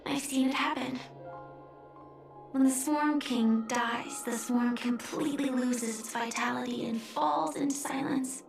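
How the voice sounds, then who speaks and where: High, unhurried young knight. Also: female voice, fairly even intonation, creaky. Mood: solemn, reflective. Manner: solemn, restrained, reflective. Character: grieving, resolute young female knight soldier. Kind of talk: dramatic video game voice acting. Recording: roomy echo, music underneath. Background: music.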